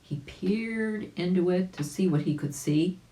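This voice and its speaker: A woman with a low voice reads a children's story at a slow, careful pace. Her intonation rises and falls freely, and the room adds a noticeable echo. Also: warm tone. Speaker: volunteer storybook reader. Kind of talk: animated children's story narration.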